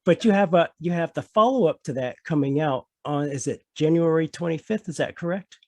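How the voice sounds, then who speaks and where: A man's voice: on the high side, a little fast, mellow, dry recording. A man hosting a podcast interview, enthusiastic and encouraging, often restarting and repeating his phrases. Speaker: podcast host.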